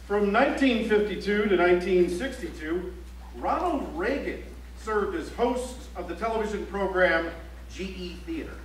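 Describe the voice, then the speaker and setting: Man acting a high-pitched male chronicler, echoey. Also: expressive, moderate pace. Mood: dry, declamatory. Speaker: stage actor. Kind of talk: theatrical scripted documentary narration. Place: library auditorium stage, recorded from the audience. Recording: echoey auditorium, distant mic, faint hum.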